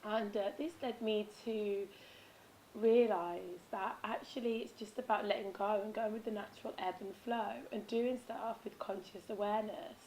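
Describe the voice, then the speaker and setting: A woman with a raspy, hoarse voice, chatting casually in a vlog about spiritual habits, with frequent ums and restarts. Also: mid-range voice, warm tone, slight background noise, poor audio. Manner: rambling, with many ums and self-corrections. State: hoarse, losing her voice. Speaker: vlogger.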